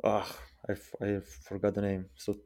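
A man in his twenties talks easily as a podcast guest, his voice sitting on the lower side. He pauses often with ums and uhs, unhurried and casual. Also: creaky. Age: 20s. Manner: relaxed, hesitant with many fillers. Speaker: guest, freelance software engineer.

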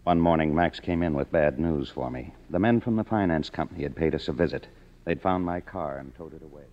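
A man's voice: a bass voice, brisk. An actor playing a world-weary, cynical man, wry and hardboiled, in a 1950s radio drama. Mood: dry narration. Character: cynical, world-weary kept man narrating his story. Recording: muffled old radio recording, music behind.

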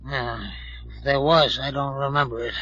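A rough, edgy drunk from an old radio mystery. He is bewildered and grumbling, full of ungrammatical slang and hesitations. The recording is muffled and dry. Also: mid-range voice, steady tone, moderate pace, sharp tone, dry recording. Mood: groggy. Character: hungover drunk small-time saloon regular, surly and bewildered.

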